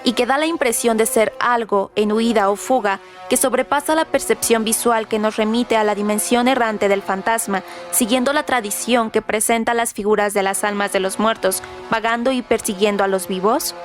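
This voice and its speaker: A woman presenting scripted literary trivia for radio, reading in a formal, deliberate manner. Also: a little high, steady tone. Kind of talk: scripted narration, trivia about a novel. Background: background music.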